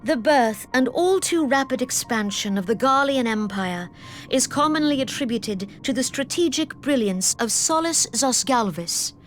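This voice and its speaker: Composed, authoritative fantasy counsellor, higher-pitched voice. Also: female voice, animated, faint music behind. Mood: grave, assured. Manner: measured, formal, deliberate. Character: composed, authoritative woman counsellor briefing allies on imperial politics. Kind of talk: fantasy game cutscene acting.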